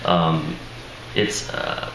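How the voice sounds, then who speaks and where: A man, an author at a live reading, introducing his book in a casual, self-deprecating way with chuckling asides and a voice that sounds worn out. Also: extremely slow. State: strained, tired voice. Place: live reading venue with audience. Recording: echoey room, noisy ambience.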